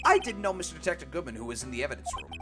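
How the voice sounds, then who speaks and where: A hoarse woman puts on a nervous young male patrolman whose voice jumps high and stutters over every other word. The pitch swings wildly as he gushes out apologies, with game music playing underneath. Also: racing. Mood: excitable. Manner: stammering, excitable, sir-peppered.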